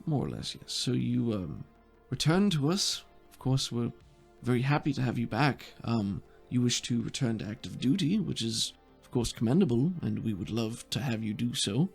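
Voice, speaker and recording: A game master voicing a polite, precise male bureaucrat in a tabletop game, speaking in formal, hesitant, measured phrases. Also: medium pitch, very animated, gravelly, husky, soft background music, exaggerated voice. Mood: formal, concerned.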